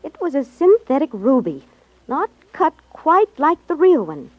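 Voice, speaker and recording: Earnest high-voiced young radio heroine. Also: female voice, big pitch swings, unhurried, no echo. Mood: earnest, worried. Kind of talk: 1950s radio drama acting. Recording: dry, dull 1950s radio recording.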